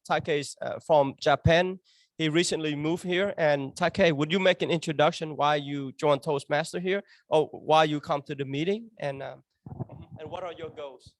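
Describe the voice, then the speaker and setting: Higher-voiced man, formal meeting host, many uhs. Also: no room sound. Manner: formal, with frequent filler sounds. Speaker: club membership officer. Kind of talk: meeting introductions.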